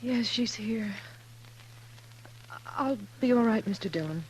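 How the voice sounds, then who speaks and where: A woman's voice: a little high, animated, slow, darkish tone. A woman playing a proud, grieving sister in a 1950s radio western, earnest and emotional but dignified. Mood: grieving, composed. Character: grieving, proud, loyal sister in the old West. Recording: noisy 1950s radio recording with hum.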